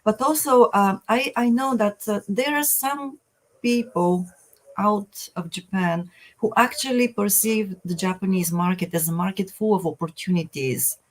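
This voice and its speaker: A woman's voice: mid-range voice, measured pace, low-quality recording. Woman panelist, mid-range voice, agreeable and earnest, with a filler-heavy delivery. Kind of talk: discussion panel.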